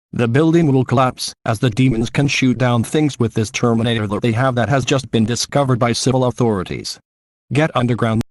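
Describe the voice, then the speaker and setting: A man's voice: mid-range voice, no room sound, clean studio sound. A man reading a scripted emergency news bulletin in a formal, declarative way. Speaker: news announcer.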